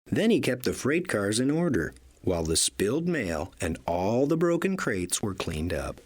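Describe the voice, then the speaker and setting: A man reading a children's read-along story as narrator, in an expressive storytelling style. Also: on the low side, normal speed, breathy edge.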